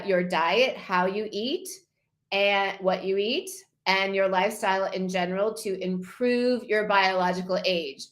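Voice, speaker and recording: A woman's voice: mid-range voice, fairly bright tone. A woman in her fifties presenting before-and-after photos to an online group, enthusiastic and repeating her main points. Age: 50s. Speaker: wellness challenge host.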